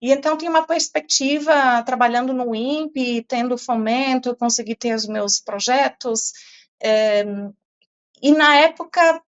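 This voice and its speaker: A woman's voice: high-pitched, a little slow, smooth, dull audio. A middle-aged German-born woman with a European Portuguese accent, a climate science professor answering interview questions thoughtfully, with many fillers and restarts.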